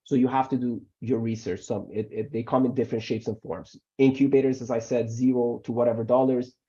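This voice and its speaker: A man whose first language is Farsi, speaking as an immigration lawyer at a middle pitch over a video call. His audio sounds dull and lo-fi. He talks in an animated way and repeats words when he pushes a point. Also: fairly quick. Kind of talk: webinar Q&A answers.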